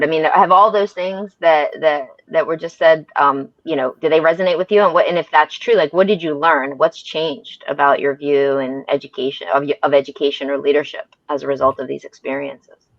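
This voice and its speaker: A woman's voice: low voice, a little fast, no echo, dull audio. A woman hosting a podcast conversation, asking questions and responding in a conversational way. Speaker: podcast host.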